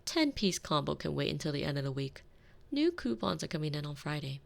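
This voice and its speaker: Woman playing a low, creaky, sardonic retired villainess housewife. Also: very animated, a little fast, full character voice. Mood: mock-sweet, probing. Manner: wry, teasing, theatrical phrasing. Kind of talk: scripted ASMR roleplay audio drama. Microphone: close binaural microphone.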